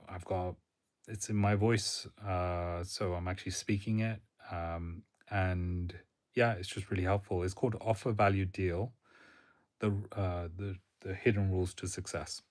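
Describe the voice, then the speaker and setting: A man who gives career advice, chatting casually on a live stream in a monotone, rambling way with fillers. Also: low-pitched. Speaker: career coach.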